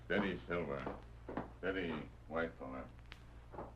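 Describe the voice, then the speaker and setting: An actor playing a loyal, stoic cowboy's companion who speaks terse broken English in an old radio western. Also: male voice, deep voice, slow pace. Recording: dull, faintly noisy vintage radio recording.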